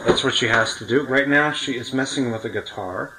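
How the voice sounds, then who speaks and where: A man's voice: mid-range voice, a little fast, noisy background. A man hosting a casual podcast interview, asking questions in an eager, insistent way. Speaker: podcast host.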